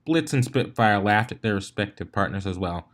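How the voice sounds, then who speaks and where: A man's voice: on the low side, animated, moderate pace. A man reading a fan fiction story aloud as narrator.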